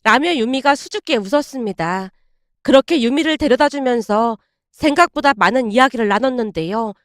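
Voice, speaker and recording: A woman with a high voice narrates a scripted first-person story. The recording is very dry, with little room sound. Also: expressive, normal speed, no room sound.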